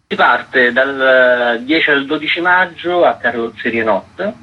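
A man's voice: medium pitch, slow, highs cut off. A man, a festival artistic director, giving explanatory answers in a radio interview.

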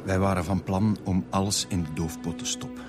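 An actor playing a grieving, defensive Flemish father in a radio drama, speaking in a halting, pleading way. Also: low-pitched, fairly even intonation, natural voice. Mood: remorseful, confessing. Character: grieving, defensive young father hiding a secret. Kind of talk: naturalistic radio drama acting. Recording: music behind the voice.

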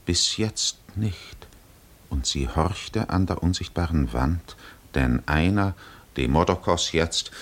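An actor as the grave narrator of a 1950s literary radio drama, telling an ancient epic in a measured, dramatic manner. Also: male voice, sits low, full character voice. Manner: measured, dramatic, hushed at times.

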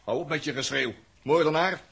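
An actor playing a bitter, scornful crewmate astronaut, curt and accusing, in 1960s radio drama style. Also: male voice, on the high side, fairly quick, vocal fry, a bit bright, breathy edge, exaggerated voice.

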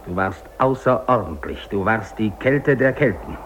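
A man's voice: on the low side, lively intonation, moderate pace, fairly bright tone, muffled recording, a little hiss or hum. A male actor playing a lone, dying English polar explorer in a 1950s German radio drama monologue, theatrical, defiant and despairing, moving between muttering and declaiming. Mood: accusing, anguished.

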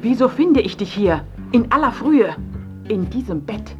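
A woman's voice: on the high side, lively intonation, unhurried, muffled recording, music in the background. An actress playing a stern, exasperated ex-girlfriend in a radio drama, with a cutting, sarcastic manner.

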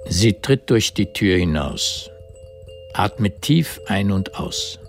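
Very deep-voiced man narrating. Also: expressive, takes it slowly, gravelly, soft background music. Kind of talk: literary scripted narration in a radio drama.

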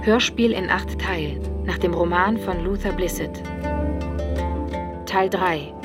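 A woman announcing a radio drama in solemn, measured, clipped phrases. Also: on the low side. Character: solemn announcer of titles, places and dates. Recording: music behind the voice.

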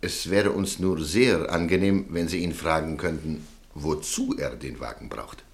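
A smooth, quietly persuasive extortioner in a radio play; very low voice. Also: male voice. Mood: coaxing. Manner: soft-spoken, coaxing, measured. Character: smooth, calm male extortioner named Walter. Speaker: radio drama character.

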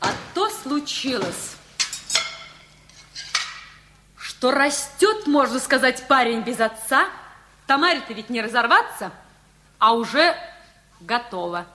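An actress playing a bossy, sharp-tongued wife of about thirty in a radio play, scolding and sarcastic. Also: female voice, high-pitched, very animated, unhurried, slight background noise, exaggerated voice. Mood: grave.

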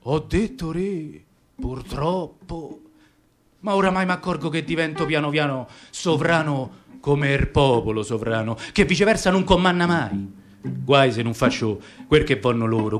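A man's voice: a little high, lively intonation, unhurried, faint music behind, voice pushed down. A man voicing a gloomy, proud caged circus lion in radio theatre, grumbling resentfully with a Roman accent. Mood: resentful, indignant. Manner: brooding, grumbling complaint.

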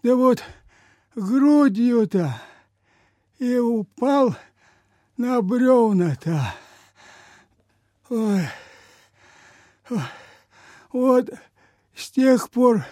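Radio drama: meek, ailing old male peasant, breathless and halting, extremely slow delivery, mellow tone, dry sound. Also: extremely high pitch, no room sound, heavily acted. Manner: humble, halting, sighing folk speech. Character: frail, meek, sick old peasant man. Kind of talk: mid-20th-century radio theatre acting.

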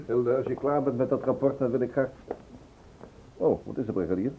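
An actor playing a chatty, wry police inspector in a radio drama, on a muffled, tinny old recording. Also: male voice, medium pitch, brisk, slight background noise, natural voice. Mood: businesslike. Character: chatty male police inspector at Scotland Yard, gossipy, wry.

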